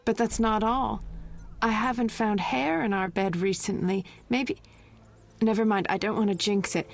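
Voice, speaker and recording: A woman's voice: mid-range voice, fairly even intonation, moderate pace, creaky, close to an everyday voice. An actress playing a heartfelt, lovestruck woman in a video game, speaking earnestly. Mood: relieved, hopeful. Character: lovestruck, heartfelt woman pleading for her beloved. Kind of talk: video game dialogue acting.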